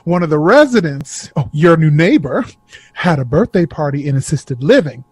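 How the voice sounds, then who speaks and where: A woman's voice: low-pitched, animated, moderate pace, darkish tone, husky, no room sound, soft background music. A woman playing a chatty, kindly, teasing care worker in an audio drama, playful and coaxing.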